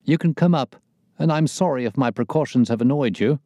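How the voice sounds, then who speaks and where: A man's voice: mid-range voice, fairly quick, dark tone, dry recording, clean studio sound, pitched above the performer's own voice, natural voice. A man voicing a very fat, frightened storybook character, anxious and evasive, talking in a nervous, pleading way. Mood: agitated relief. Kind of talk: audiobook character voice.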